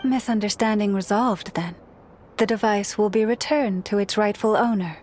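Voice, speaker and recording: A woman voicing a solemn, composed young noble leader in a fantasy video game, speaking formally and in measured phrases. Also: mid-range voice, a little mellow, slight background noise, natural voice.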